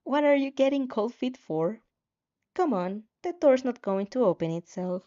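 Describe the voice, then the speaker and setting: A woman's voice: a little high, animated, moderate pace, warm tone, no room sound, pitched above the performer's own voice, lightly acted. A woman voicing a bossy, taunting game-like system that prods and announces, in a fanfiction reading. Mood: impatient, goading. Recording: slightly muffled audio with music behind.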